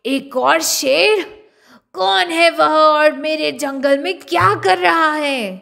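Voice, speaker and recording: A woman voicing an angry, menacing male lion king in a children's story, with drawn-out threatening delivery. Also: sits high, vocal fry, bright, forceful tone, voice pushed up, heavily acted. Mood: furious.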